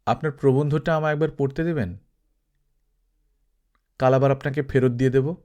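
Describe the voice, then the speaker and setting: A man's voice: medium pitch, steady tone, moderate pace, dark tone, lightly acted. A man voicing a shy, earnest teenage college student in an audiobook, speaking politely and with hesitation. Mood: hesitant, polite. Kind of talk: audiobook character reading.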